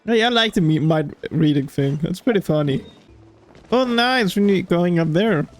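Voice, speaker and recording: A woman's voice: fairly low pitch, animated, unhurried, soft background music. A woman giving casual let's-play commentary as she streams a video game.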